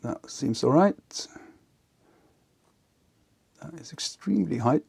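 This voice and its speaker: A man giving casual, unscripted hands-on narration as an electronics hobbyist, halting and half talking to himself as he works. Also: fairly high pitch, expressive, gravelly, a little mellow, breathy edge. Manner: halting, muttering to himself while working.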